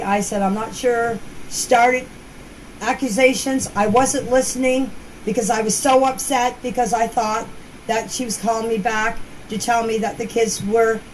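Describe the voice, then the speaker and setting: An older woman informally recounting events in a hesitant, repetitive way, as if giving her own account in voice messages. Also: fairly high pitch. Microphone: phone voice messages. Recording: noisy amateur voice message recording.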